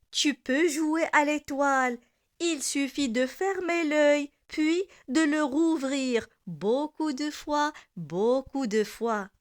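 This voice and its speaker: A woman reciting a children's poem in a deliberate, measured manner, with pauses between phrases. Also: high voice. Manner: deliberate, with repeated lines and pauses. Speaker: poem reciter for primary school learners.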